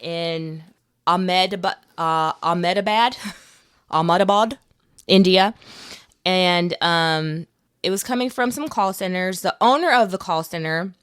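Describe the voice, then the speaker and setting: Casual low-voiced woman podcast host. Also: slow, clean tone, no room sound, studio quality. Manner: animated quoting, swearing, informal. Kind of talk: casual conversational storytelling.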